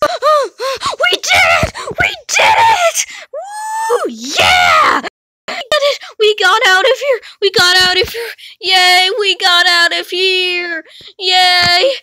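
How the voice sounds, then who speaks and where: A woman voicing a frightened mother who flees a haunted hotel with her son, high and panicked. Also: sing-song, slow, sharp tone, raised voice, heavily acted. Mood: elated.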